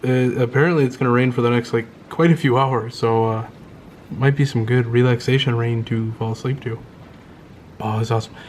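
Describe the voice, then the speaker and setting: A man vlogging casually from his condo, rambling and pausing often to find his words. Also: mid-range voice, fairly even intonation, darkish tone, slight background noise. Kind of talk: casual show-and-tell vlog. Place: indoors at home in a condo.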